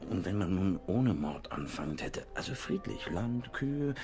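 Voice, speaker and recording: Animated, earnest village constable. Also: male voice, deep voice, measured pace, faint music behind. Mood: earnest, unsure. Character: earnest village constable dreaming of writing crime novels. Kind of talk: German radio play acting.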